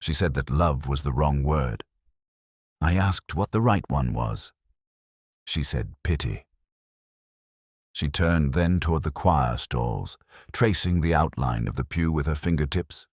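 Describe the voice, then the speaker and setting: A man voicing a reflective police inspector who narrates his case in the first person, measured and literary. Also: a bass voice, slightly rough, dry recording, clean studio sound, full character voice. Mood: brooding. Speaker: detective narrator. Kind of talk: scripted first-person radio-drama narration.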